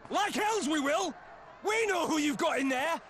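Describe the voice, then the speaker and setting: An actor playing a vengeful commoner leading a mob in a fantasy game, shouting and accusatory. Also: male voice, extremely high pitch, lively intonation, unhurried, a little hiss or hum, exaggerated voice. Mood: furious.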